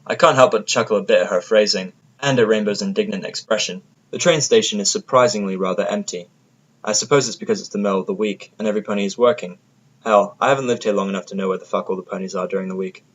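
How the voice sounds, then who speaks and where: A man's voice: fairly low pitch, quick pace. A man narrating a first-person audio drama from a script, with a sardonic manner.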